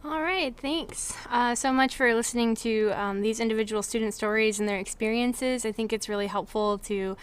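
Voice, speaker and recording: A woman's voice: a little high, normal speed, few pauses, clean tone. A young woman advising students on study abroad, speaking earnestly with frequent filler words. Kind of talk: informational presentation.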